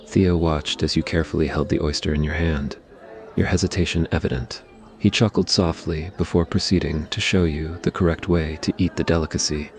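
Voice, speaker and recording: A man's voice: rumbling low, fairly even intonation, a little fast. A man narrating a scripted romantic ASMR story.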